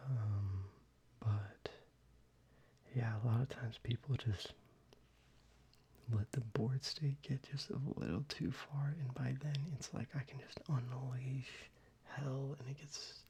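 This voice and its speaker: Whispering man with a gravelly, dark voice. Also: mid-range voice, slow pace. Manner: whispered, relaxed, casual with swearing. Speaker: ASMR creator. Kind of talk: soft-spoken ASMR show-and-tell. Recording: slightly dull, faint background noise.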